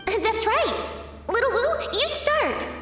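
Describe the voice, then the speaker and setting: A woman's voice: very high voice, very animated, slow, noisy background, heavily acted. A woman voicing an earnest child who wants to craft lanterns, in a video game flashback. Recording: echoey memory from far off.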